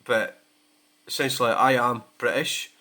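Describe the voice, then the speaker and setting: A man's voice: mid-range voice, fairly even intonation, a little slow, sharp tone. A Scottish man hosting his own channel, talking in a blunt, sweary and rambling way in a casual rant. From Scotland. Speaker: channel host.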